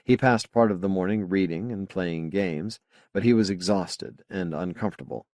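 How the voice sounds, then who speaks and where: A man reading a science fiction novel as an audiobook narrator, with measured, clearly phrased delivery. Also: low-pitched, darkish tone, no echo, clean studio sound.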